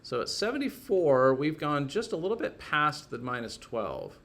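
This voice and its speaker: A man's voice: a little high, sing-song, normal speed, creaky, fairly bright tone. A man teaching a casual hands-on technical lesson, informally thinking aloud as he works through each step.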